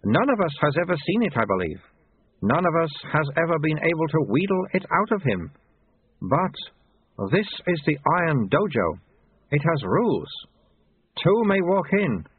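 A grave monastery abbot with a babyish streak performed by a male narrator questions visitors. The storybook voice is very high and swoops from solemn speech into infant squeals. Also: very animated, measured pace, husky, no room sound, phone-quality sound, heavily acted. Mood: lofty. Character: reincarnated abbot, grave old leader with babyish squeaky outbursts.

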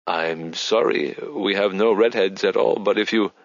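A man's voice: fairly low pitch, unhurried, creaky, no echo, highs cut off. A man voicing a polite, effervescent Spanish woman receptionist, chatty and apologetic but businesslike. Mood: polite, firm.

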